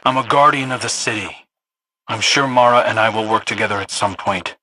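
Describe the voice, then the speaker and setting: An actor playing an earnest, troubled young guardian in a video game, with tense, clipped delivery. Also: male voice, fairly low pitch, a little fast, background noise, lightly acted. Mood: defensive. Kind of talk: video game dramatic acting.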